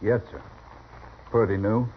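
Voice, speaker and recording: An actor playing a quiet, polite, easygoing gunman in a 1950s radio Western, soft-talking, laconic and courteous. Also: lowish voice, steady tone, a little slow, lightly acted. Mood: guarded. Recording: dull, noisy vintage radio recording.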